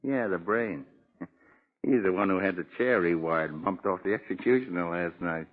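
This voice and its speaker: A man's voice: sits low, moderate pace. An actor playing a boastful, menacing convicted killer with cocky tough-guy slang in 1940s radio crime drama style. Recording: muffled tinny old radio recording.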